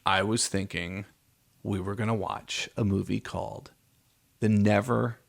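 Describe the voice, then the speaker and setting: A man's voice: on the low side, fairly even intonation, unhurried, vocal fry. A man hosting a podcast in a casual, conversational style. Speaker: podcast host.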